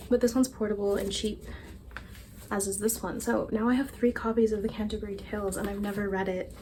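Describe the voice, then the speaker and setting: A woman's voice: medium pitch, fairly even intonation, fairly quick, a little mellow, slight background noise. A young woman chatting casually and rambling a little, self-deprecating, as a book vlogger. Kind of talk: casual chat.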